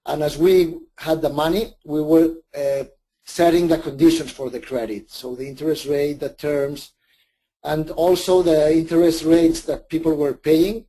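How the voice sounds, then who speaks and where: A man's voice: fairly high pitch, steady tone, unhurried, mellow, poor audio. Hesitant Spanish-accented man presenting. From Spain. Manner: hesitant, many restarts and self-corrections. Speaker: webinar presenter. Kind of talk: live webinar presentation.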